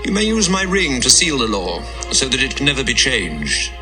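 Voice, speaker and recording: A man's voice: mid-range voice, big pitch swings, moderate pace, bright, forceful tone, faint music behind. An actor playing a regal, authoritative king in a dramatised audio Bible. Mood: commanding. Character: commanding king, generous yet quick to anger.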